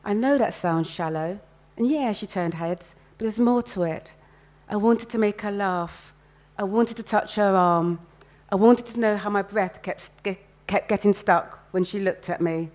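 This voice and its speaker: Woman reading fiction aloud, medium pitch. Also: a little slow, a little mellow. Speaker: writer reading own fiction. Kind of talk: expressive first-person story reading at a live literary event.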